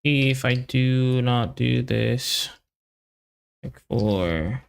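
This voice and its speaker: A man talks to himself during a casual coding stream. His voice is heavily creaky, full of vocal fry, at a middling pitch. He goes slowly, muttering in short, hesitant fragments as he thinks aloud. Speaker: developer live-streaming coding.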